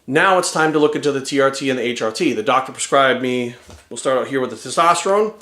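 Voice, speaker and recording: A man in his forties giving an energetic, rambling testimonial to camera. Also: medium pitch, fast, echoey room. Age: 40s.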